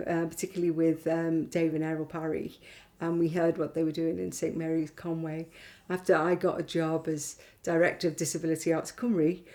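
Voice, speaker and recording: Middle-aged female community member, mellow slightly low voice, reflective testimony delivery. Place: indoors on a living room sofa.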